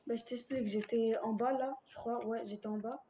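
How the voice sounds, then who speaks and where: Female gamer, mellow and slangy, hesitant storytelling over slightly noisy voice chat. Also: a little high, steady tone, fairly quick, muffled recording. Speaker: player in a roleplay game. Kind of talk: casual storytelling in game voice chat. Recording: background noise on game voice chat.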